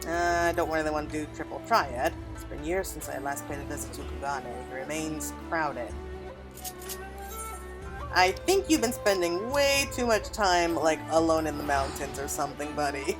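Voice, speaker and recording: A woman's voice: sits low, expressive, normal speed, poor audio. Chatty woman gamer over game music. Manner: chatty, playful asides. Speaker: gameplay streamer. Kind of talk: casual reactions while playing a game.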